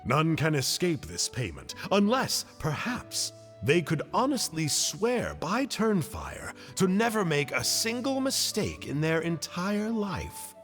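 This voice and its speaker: Bookish reader, formal, thoughtful, very varied intonation, backing music. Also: male voice, a little high, wildly swooping pitch, takes it slowly, hardly any pauses, a bit bright, full character voice. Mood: solemn. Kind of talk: video game character acting, scripted reading.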